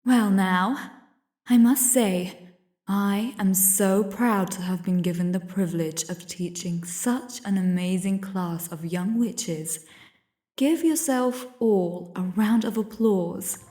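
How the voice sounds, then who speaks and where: A woman's voice: medium pitch, dark tone, close to an everyday voice. Echoey, stately witch headmistress played by a woman. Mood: proud, ceremonial. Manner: stately, measured, formal. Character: proud, formal headmistress of a witch academy. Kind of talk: audio drama ceremonial speech. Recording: echoey, reverberant hall sound.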